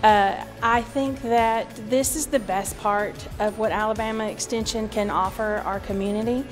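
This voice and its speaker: A woman's voice: mid-range voice, steady tone, normal speed. A middle-aged woman working as an extension agent, speaking in a sit-down interview indoors. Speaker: food safety extension agent. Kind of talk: sit-down interview for a promotional video. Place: indoor office or cafe room. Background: music.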